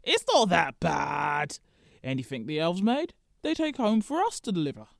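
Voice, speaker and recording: A man's voice: fairly high pitch, very animated, moderate pace, vocal fry, dry recording, studio quality. A man playing Santa as a sheepish, stubborn boss in a scripted comedic audio drama, hesitant and defensive.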